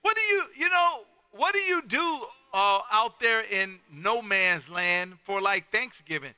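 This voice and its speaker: A man's voice: sits high, animated, unhurried, bright, forceful tone. A folksy, exuberant man, chatting casually as a ham radio host who peppers his talk with "man". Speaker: amateur radio operator and live stream host.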